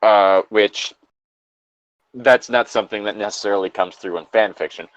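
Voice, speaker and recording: A man's voice: fairly low pitch, moderate pace, dry recording, muffled recording. A man giving incredulous, opinionated commentary in a casual, hesitant way, sometimes reading aloud. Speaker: co-host reacting to fan fiction and its reviews.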